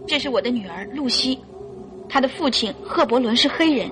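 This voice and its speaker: A devoted mother in a radio drama. Her voice sits a little high, with faint music behind it, and she speaks in an earnest, deliberate, pleading way that stays resolute. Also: expressive. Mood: composed, candid. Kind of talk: classic Mandarin radio drama acting.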